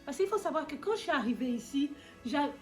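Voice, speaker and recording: A young woman from Côte d'Ivoire with an Ivorian accent, chatting casually on her video channel and answering her sister's questions with lively, teasing energy. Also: sits high, music in the background. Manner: lively, animated, quick to tease. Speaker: vlogger.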